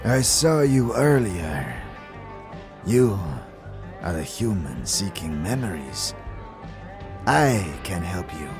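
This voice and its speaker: Man acting a very deep, slow, sly alien warrior. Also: sing-song, exaggerated voice. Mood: intense, enticing. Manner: deliberate, formal, theatrical phrasing. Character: sly, menacing Githyanki warrior offering memory bargains. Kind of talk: fantasy audio drama acting. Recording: music playing behind the voice.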